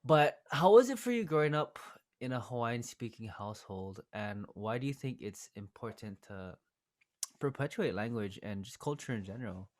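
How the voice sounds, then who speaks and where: A man's voice: mid-range voice, big pitch swings, normal speed. A man hosting a podcast interview, easygoing and thinking aloud with plenty of fillers. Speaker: podcast host. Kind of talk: casual interview chat.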